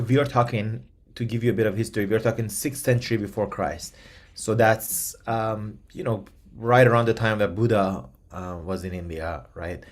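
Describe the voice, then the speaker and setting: A man from Iran with a fairly low voice, speaking as a guest expert. His first language is Persian, and he hesitates often, with many ums and restarted phrases. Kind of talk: explanatory interview answers.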